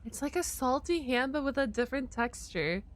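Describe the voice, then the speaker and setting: A woman streamer giving casual, slangy live reactions in an expressive, exclamatory way. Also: high-pitched, normal speed, slight background noise.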